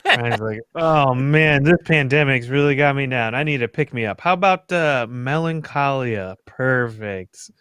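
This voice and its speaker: A man's voice: medium pitch, measured pace, fairly bright tone, clear, resonant tone. A young adult man chatting casually and informally, with a sardonic streak and some swearing. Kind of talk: casual group chat.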